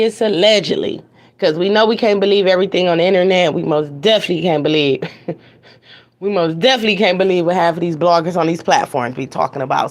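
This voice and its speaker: A woman hosts her show from home, her voice hoarse and raspy from a cold and a stuffy nose. She talks loosely and warmly to her audience, repeating phrases as she goes. Her pitch sits in an ordinary middle range for a woman. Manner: chatty, repeats phrases, talks straight to viewers. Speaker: host of an online news talk show. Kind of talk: casual livestream chat. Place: home with TVs on in the background.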